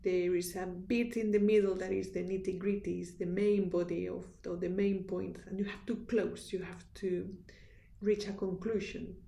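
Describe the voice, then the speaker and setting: A woman with a strong Spanish accent gives a university lecture in an earnest, instructive way. Her voice has a gravelly fry and a mellow, relaxed tone. The room around her is echoey. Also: on the low side. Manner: earnest, instructive, with restarts and fillers. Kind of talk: recorded lecture.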